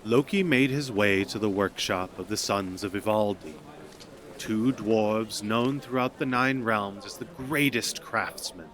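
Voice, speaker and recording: A man's voice: on the low side, unhurried, fairly bright tone. A man narrating a scripted myth as a storyteller.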